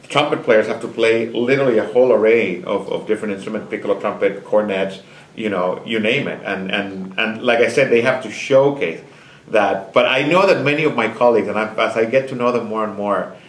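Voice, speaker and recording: A man's voice: on the low side, brisk. A middle-aged man from Nicaragua, a music director, answering interview questions in a thoughtful, storytelling way with small restarts. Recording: echoey concert hall.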